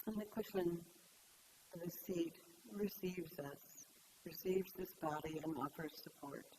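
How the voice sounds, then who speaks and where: A woman meditation teacher leading a guided meditation in gentle, unhurried phrases with long pauses. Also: lowish voice, low-quality recording. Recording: clear background hiss.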